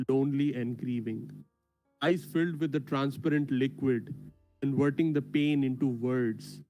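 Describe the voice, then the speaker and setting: A man's voice: mid-range voice, dark tone, poor audio. A man from India reciting his poetry and chatting on an online call. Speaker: poet at an online reading. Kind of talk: poetry reading and casual chat. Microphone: video call.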